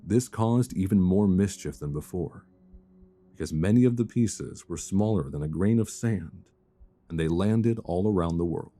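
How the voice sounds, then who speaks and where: A man softly reading a fairy tale as sleep-aid ASMR, measured and soothing, close to a binaural microphone. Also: low-pitched. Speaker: storyteller.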